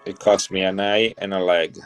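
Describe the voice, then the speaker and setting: A man's voice: low-pitched, flat delivery, normal speed, faint music behind. A middle-aged man from Italy with an Italian accent, chatting enthusiastically to viewers as he shows and sells coins on a live stream. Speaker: coin seller on a live stream. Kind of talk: casual live-selling chat.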